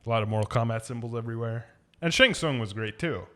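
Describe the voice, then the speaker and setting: A man's voice: mid-range voice, quick pace, a bit bright. A man co-hosting a podcast, giving film opinions in an informal, slangy and sometimes sweary way. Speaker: podcast co-host. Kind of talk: casual movie review chat.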